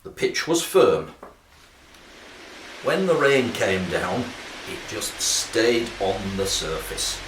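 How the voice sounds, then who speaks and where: Actor as an earnest referee, animated, echoey and distant. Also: male voice, on the low side, slow, long pauses. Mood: formal concern. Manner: formal, firm, pedantic. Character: earnest, principled, cautious male rugby league referee. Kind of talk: radio play acting. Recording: echoey, distant, loud background hiss.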